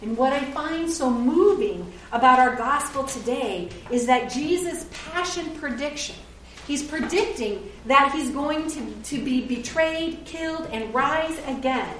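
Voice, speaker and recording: A woman's voice: high-pitched, expressive, a little slow, a bit bright, a little hiss or hum. A middle-aged woman pastor preaching a sermon in a church, earnest and conversational, sometimes correcting herself mid-sentence. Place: church sanctuary. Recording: roomy echo, heard from a distance.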